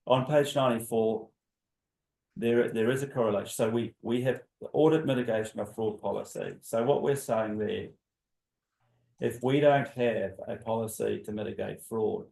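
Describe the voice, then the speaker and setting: A man on council staff explaining audit findings at a council meeting, measured and repeating words as he goes. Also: on the low side, fairly even intonation, vocal fry, a little mellow, poor audio.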